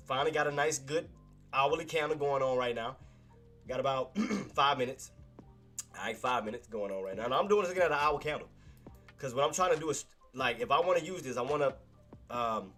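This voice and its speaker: A man's voice: mid-range voice, brisk, a bit bright, soft background music. A man with a congested nose hosting a live trading stream, casually walking through chart levels and repeating key points to his chat. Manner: casual, repetitive, talks to chat as "boss". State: nasal congestion. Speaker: trading livestream host. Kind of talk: live stock chart commentary.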